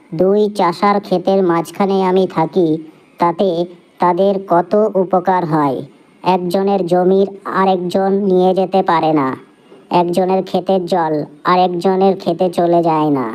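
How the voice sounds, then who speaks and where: A woman's voice: fairly low pitch, steady tone, unhurried, a little mellow, lightly acted, poor audio. A woman voicing an aggrieved, indignant talking spirit of field and tree in a cartoon fable. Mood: bitter, indignant. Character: aggrieved talking nature spirit, bitterly complaining witness.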